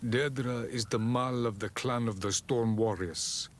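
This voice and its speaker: An actor playing a wise, solemn elder of an island tribe in a fantasy game, speaking in a measured, ceremonial way. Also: male voice, mid-range voice, lightly acted. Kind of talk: fantasy video game cutscene acting.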